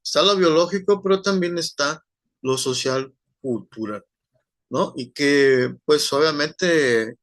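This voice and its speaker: Slow, halting male lecturer. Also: fairly high pitch, a bit bright, dry recording, clean studio sound. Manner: rambling, many fillers and tag questions. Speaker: teacher. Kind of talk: online class lecture.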